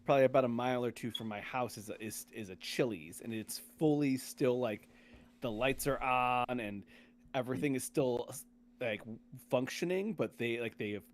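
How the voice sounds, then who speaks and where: A man's voice: medium pitch, moderate pace. Man, podcast host, casual chat, blunt, slangy, opinionated. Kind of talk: casual chat about games.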